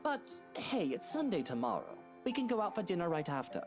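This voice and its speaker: A man's voice: high voice, wildly swooping pitch, a little fast, exaggerated voice. A man playing a placating, mildly amused husband in a horror game, easygoing as he deflects. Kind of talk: visual novel voice acting. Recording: very loud music underneath.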